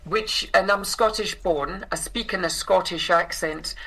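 A woman's voice: fairly low pitch, a little slow, bright, forceful tone, rough recording. A woman with a South African accent, speaking as an interview guest in a conversational, explanatory way, hesitating and correcting herself as she goes. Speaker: interview guest, singer and actress.

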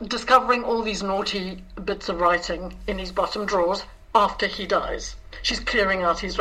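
A woman interview guest speaks in a bright, forward voice. Her pace is slow, with halting stretches as she carefully searches for the right words. The style is conversational and explanatory. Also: mid-range voice, rough recording. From South Africa. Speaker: interview guest, director and scriptwriter. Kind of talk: conversational interview, describing a production.

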